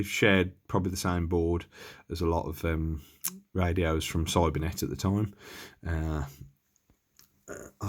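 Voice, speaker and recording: A man giving a casual, unscripted hands-on demonstration, thinking aloud in a hesitant, tentative way. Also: low-pitched. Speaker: presenter of a radio equipment demonstration.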